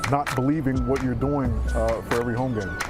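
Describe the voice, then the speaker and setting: A man's voice: medium pitch, a little fast, over loud music. A man with an American accent, working as a reporter in conversational interview and feature style.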